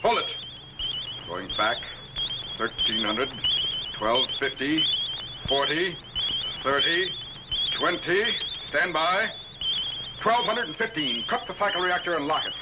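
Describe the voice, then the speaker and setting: A man's voice: fairly high pitch, expressive, slow, long pauses. A middle-aged man playing a commanding, learned time-lab captain in a 1950s television serial, clipped and precise. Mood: urgent, commanding. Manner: clipped, authoritative, precise orders. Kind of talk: 1950s TV science fiction acting. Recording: noisy 1950s film soundtrack.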